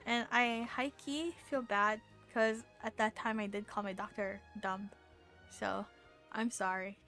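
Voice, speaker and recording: A woman's voice: mid-range voice, steady tone, measured pace, sharp tone, faint music behind. A young woman chatting casually on a game livestream. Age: young adult.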